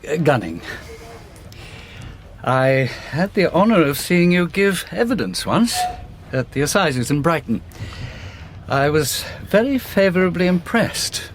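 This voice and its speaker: Police inspector, higher voice, lively pitch, slow deliberate delivery. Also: male voice, a little hiss or hum. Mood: courteous. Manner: polite, hesitant. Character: courteous police inspector, middle-aged man.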